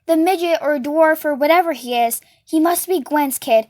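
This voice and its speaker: Storybook troublemaker, voiced by a woman reader: high-pitched brash woman, taunting, slangy, spiteful, gossipy. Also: moderate pace, a little mellow, no echo, studio quality, natural voice. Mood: gossipy, mocking.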